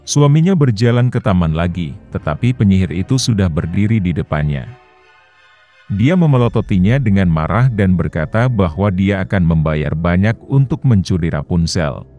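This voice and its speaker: A man narrating a children's fairy tale in a calm, even storybook reading style. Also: deep voice, moderate pace, faint music behind. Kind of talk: scripted bedtime story narration.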